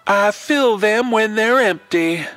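A man plays a warm small-town diner owner, a woman with a lifted, smiling voice. The delivery is friendly and folksy, in the style of audio storytelling, with soft music behind. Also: high voice, slow, voice pushed up. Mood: cheery, warm.

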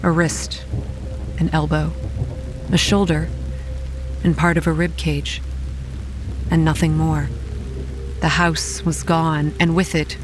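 Faint music and rain sit behind a young woman telling a horror story. She is grave and measured, a haunted campground manager with a dry, wry edge. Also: deep voice, flat delivery. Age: young adult. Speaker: narrator and lead actor. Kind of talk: dramatic first-person horror audio drama narration. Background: quiet music and rain.

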